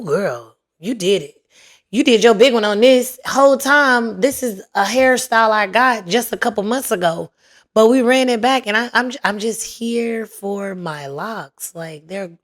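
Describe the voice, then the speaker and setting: A young woman hosting her own podcast in casual chat, her voice raspy and hoarse as it recovers, talking playfully with lots of slang. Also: on the low side. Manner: chatty, playful, slangy, drawn-out emphasis. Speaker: podcast host.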